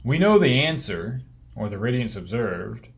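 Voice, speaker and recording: A man reading a technical course lecture in a measured, explanatory way. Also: medium pitch, sing-song, gravelly.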